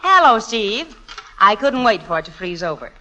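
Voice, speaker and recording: A woman's voice: medium pitch, big pitch swings, normal speed, lo-fi sound, lightly acted. An actress playing a coy, affectionate young society woman, in 1940s radio style. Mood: teasing. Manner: coy, teasing. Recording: noisy, clicky 1940s radio recording.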